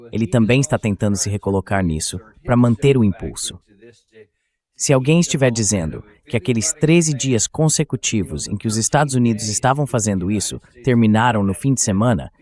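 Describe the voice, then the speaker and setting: A man's voice: mid-range voice, darkish tone. A man's AI-generated voice dubbing an interview host, asking questions in a conversational way. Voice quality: synthetic AI voice.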